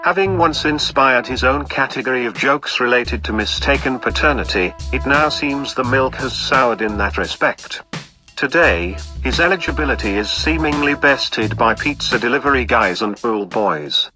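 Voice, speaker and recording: A man's voice: mid-range voice, moderate pace, lightly acted. A man playing a grandiose, melodramatic narrator, reading scripted audio play narration in a pompous, florid manner. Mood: mock-grand. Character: grandiose melodramatic male narrator of a milkman's love life. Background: music.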